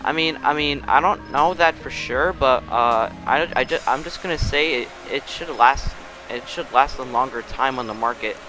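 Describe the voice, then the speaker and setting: A man's voice: medium pitch, normal speed, few pauses, pushed and bright, soft background music, poor audio. A young man hosting a casual car review of a game vehicle, rambling with many restarts and fillers. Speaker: car review channel host.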